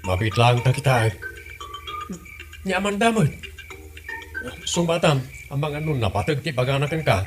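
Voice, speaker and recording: A man's voice: medium pitch, lively intonation, normal speed, pauses often, over music, lightly acted. A man playing an easygoing, tired tricycle-driver husband in an audio comedy-drama, offhand and reassuring. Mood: tired, grumbling.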